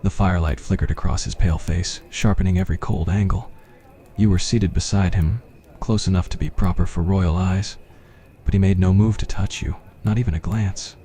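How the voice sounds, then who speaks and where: A man's voice: deep voice, fairly even intonation, moderate pace, mellow. A man reading a scripted fantasy roleplay as ASMR story narration.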